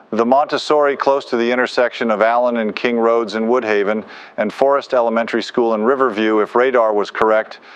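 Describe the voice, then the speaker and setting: A man's voice: medium pitch, clean tone, dry recording. A man giving urgent live tornado coverage as a weather forecaster, grave, insistent and speaking off the cuff. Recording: dull muffled audio with faint noise.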